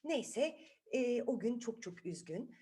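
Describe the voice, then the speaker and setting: Bir kadın, çocuklara sorular sorarak, sözleri tekrarlayarak dramatik bir dille doğaçlama masal anlatıyor. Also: female voice, a little high, sing-song, slow pace, warm tone. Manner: dramatic, repeats words, asks listeners questions. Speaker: children's storyteller. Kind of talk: improvised, animated storytelling to children.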